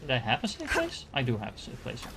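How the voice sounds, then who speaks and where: A man's voice: medium pitch, huge pitch swings, quick pace, creaky, noisy background. A man from the Netherlands with a Dutch accent, casually commenting on a game he is playing and thinking aloud with many fillers and restarts. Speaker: gaming streamer.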